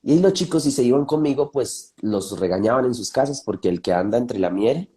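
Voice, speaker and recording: A man's voice: mid-range voice, animated, brisk, mellow. A man from Colombia with a Colombian accent, a pastor speaking thoughtfully in a panel discussion and sharing his testimony.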